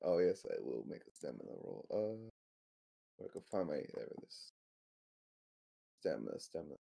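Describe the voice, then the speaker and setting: A man chats as a player in a tabletop game. His voice is low with heavy vocal fry. He speaks casually and hesitantly, sometimes swearing. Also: big pitch swings, normal speed, mellow. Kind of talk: casual chat.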